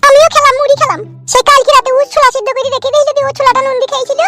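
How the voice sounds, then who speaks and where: Young newlywed cartoon wife, coy, plaintive, rural West Bengal accent, extremely high pitched-up voice. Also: male voice, little pitch movement, very fast. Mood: chatty. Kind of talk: comic cartoon dialogue. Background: music.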